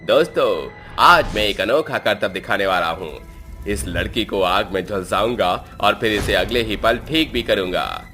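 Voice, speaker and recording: A man's voice: lowish voice, expressive, a little slow, bright, forceful tone, heavily acted. A man voicing an arrogant, boastful yet cowardly fake magician in a horror story, with theatrical delivery. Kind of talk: Hindi horror storybook character voice. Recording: music behind.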